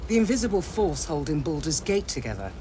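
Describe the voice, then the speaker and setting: A grave, dutiful woman of authority in a fantasy game. She speaks formally, as one used to giving orders, with urgency under the words. Clear ambient noise runs behind her voice. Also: deep voice, sing-song, fairly quick. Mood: grave, commanding. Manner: formal, commanding. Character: stern, dutiful female city councillor, urgent and grave. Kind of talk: video game dialogue acting.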